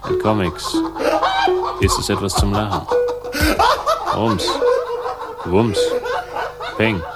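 A man playing a world-weary, sardonic investigator who speaks drily and deliberately in a 1960s radio crime drama. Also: on the low side, fairly even intonation, over loud music. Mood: bitter, brooding. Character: world-weary, sardonic male investigator narrating his own story.

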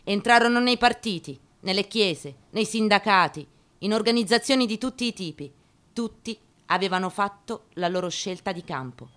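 A woman giving a dramatic literary reading, solemn and declamatory, with deliberate pauses, over guitar. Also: medium pitch, lively intonation, a bit bright. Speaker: actress giving a staged reading. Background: guitar music.

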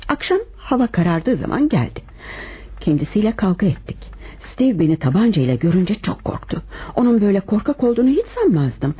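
A woman acting a composed, lovelorn wife confessing to murder in a Turkish radio play, with measured dramatic delivery. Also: mid-range voice, very animated, mellow, slightly rough. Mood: grave recounting.

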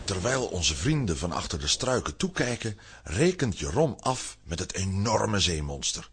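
A man's voice: deep voice, big pitch swings, normal speed, breathy edge. A man narrating a children's audio play in a scripted storytelling style.

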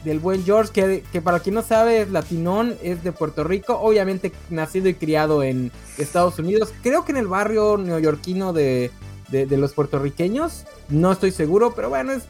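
A man's voice: high-pitched, expressive, normal speed, a little mellow, faint music behind. A man with a Mexican Spanish accent hosting a casual live-streamed comic-book chat, rambling and correcting himself as he goes. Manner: rambling, restarts often, self-correcting. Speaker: podcast host.

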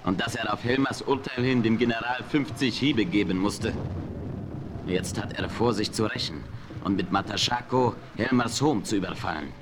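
An actor playing an earnest Comanche chief in a radio play, reporting events plainly. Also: male voice, fairly low pitch, normal speed, a crowd in the background, close to an everyday voice. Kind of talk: 1970s radio play acting.

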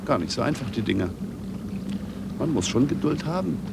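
An actor playing a patient, contented waiter with a creaky voice in a German radio drama. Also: male voice, medium pitch, lively intonation, moderate pace. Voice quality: creaky, gravelly. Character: patient, contented waiter practising sailor's knots. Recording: distant voice under very loud noise.

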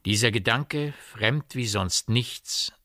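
A man's voice: mid-range voice, slow pace, sharp tone, no room sound, clean studio sound. A man narrating a radio drama in scripted literary prose.

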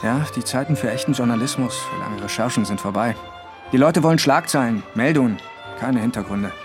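A man's voice: mid-range voice, brisk, over music, natural voice. A man acting a chummy, wheedling journalist and old friend in a German period crime radio drama. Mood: persuasive. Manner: chatty, teasing.

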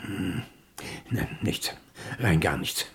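An actor playing a fussy, muddled old hotel lodger who stammers and repeats himself in a husky voice, in a German radio play. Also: male voice, low-pitched, expressive, slow, fairly bright tone, full character voice. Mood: hushed searching.

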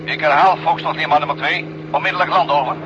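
A man's voice: on the high side, steady tone, fast. An actor playing a curt, insistent man giving orders over a radio link in a 1970 radio drama. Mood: menacing. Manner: clipped, official radio procedure. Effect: radio transmission. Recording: dull, noisy two-way radio sound.

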